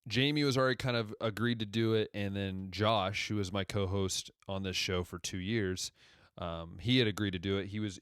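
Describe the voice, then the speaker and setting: A young man from Ohio in his twenties with a deep radio-style voice, hosting a casual solo podcast and rambling conversationally as he backtracks through his story. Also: fairly bright tone, clear, resonant tone, no room sound, studio quality. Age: 20s. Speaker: podcast host.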